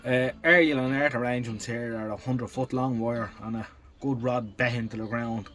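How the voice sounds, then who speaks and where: Male workshop tinkerer, mid-pitched, casual and technical, thinking aloud, matter-of-fact. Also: fairly even intonation, moderate pace, a bit bright, faint music behind. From Ireland. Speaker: vintage radio restorer and collector. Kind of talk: casual hands-on demonstration commentary.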